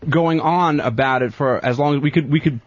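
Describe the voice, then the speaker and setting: A man with a husky voice joining in unscripted banter as a radio show panelist. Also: fairly high pitch, brisk, no echo. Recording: dry, muffled lo-fi radio recording.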